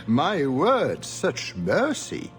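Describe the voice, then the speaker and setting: An actor playing a suave, theatrical, sardonic duke in a video game, speaking with flowery, mocking courtesy. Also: male voice, a little high, wildly swooping pitch, drawn-out words, soft background music, heavily acted. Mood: amused, cold. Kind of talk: video game cutscene acting.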